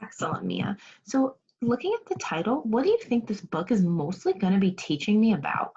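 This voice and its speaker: A woman's voice: medium pitch, sing-song, brisk, darkish tone, husky. A woman teaching second grade in an interactive remote read-aloud, giving instructions, asking questions and praising pupils. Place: remote video class. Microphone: video call. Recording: dull lo-fi video call audio.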